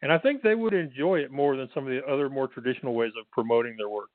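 A man's voice: medium pitch, fast talker. Chatty Texan man on a phone line. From Texas. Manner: chatty, frequent restarts and fillers. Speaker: guest author. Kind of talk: casual interview. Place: bedroom at home.

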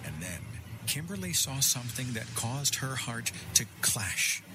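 A man narrating a scripted ghost story in a measured, deliberate, suspenseful audiobook style. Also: mid-range voice, big pitch swings. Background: music and effects.

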